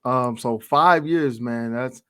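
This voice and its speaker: A man's voice: medium pitch, slow, sharp tone, clear, resonant tone, dry recording, studio quality. A man hosting a casual interview, asking questions and affirming with short replies. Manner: friendly, affirming with "right" and "yeah, man". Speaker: interview host.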